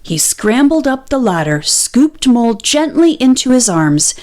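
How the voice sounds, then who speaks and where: A woman narrating a children's picture book aloud in an expressive, deliberate storytelling style. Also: on the high side.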